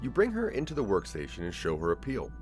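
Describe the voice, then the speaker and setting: A man narrating a scripted audio drama in the second person, with a measured storytelling delivery. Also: lowish voice, wildly swooping pitch, darkish tone, faint music behind.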